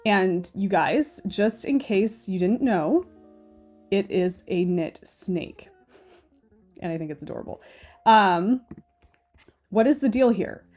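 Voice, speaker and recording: Woman knitting host, stuffy-nosed and nasal, chatty, opinionated, mellow tone. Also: mid-range voice, expressive, slow, long pauses, soft background music. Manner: chatty and opinionated, often asking "okay?". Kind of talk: casual screen-share commentary.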